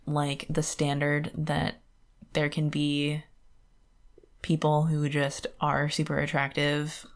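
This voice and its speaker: A woman with a fairly low voice speaks as a podcast co-host. She talks casually and with opinions, in a loose conversational style full of filler "like". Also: monotone, slow pace. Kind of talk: casual book discussion.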